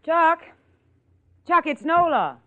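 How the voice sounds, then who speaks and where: An actress playing a cool, shrewd newspaper assistant in an American radio drama, guarded and coaxing in manner. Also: female voice, extremely high pitch, sing-song, slow pace, fairly bright tone, full character voice. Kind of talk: old-time radio drama acting. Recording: vintage radio, narrow phone-like band.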